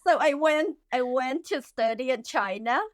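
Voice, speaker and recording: A woman, a guest speaking haltingly with many fillers in a casual interview. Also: high-pitched, no room sound, clean studio sound. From Vietnam. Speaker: guest, skincare founder.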